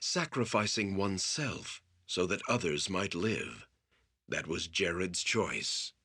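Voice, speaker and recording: Husky, grave warrior priest. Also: male voice, lively intonation, pushed and bright, exaggerated voice. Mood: solemn resolve. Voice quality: husky, strained, low. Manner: solemn, halting, emphatic. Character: grave, wounded male priest of a war god. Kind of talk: dramatic fantasy video game acting.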